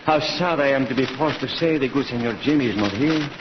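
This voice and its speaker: An actor playing a jolly, teasing Mexican ranch hand who speaks courtly broken English with a Spanish accent in an old radio western. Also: male voice, mid-range voice, a little fast, close to an everyday voice. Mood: mock courteous. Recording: muffled, noisy old radio recording.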